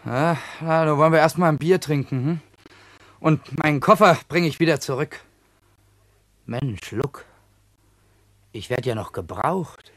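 A man's voice: fairly high pitch, measured pace, pauses often. An actor playing a playful, romantic grown man posing as a schoolboy in a German radio play, dryly teasing. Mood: surprised, friendly. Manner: dry, teasing. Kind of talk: German radio drama acting.

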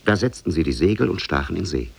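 A man narrating an Oriental fairy tale in a measured way, as in a 1950s German radio play. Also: low-pitched, big pitch swings, gravelly, slightly rough. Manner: measured, storytelling.

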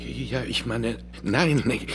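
An actor playing a timid, servile tailor in a German radio play, halting and apologetic as he stammers. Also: medium pitch, music in the background, natural voice. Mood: flustered, frightened.

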